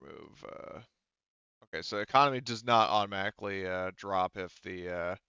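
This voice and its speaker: A man's voice: low-pitched, normal speed, bright, forceful tone, clear, resonant tone, no echo. A man running a tabletop roleplaying game as game master, casual and thinking aloud with hesitations, on dull lo-fi audio.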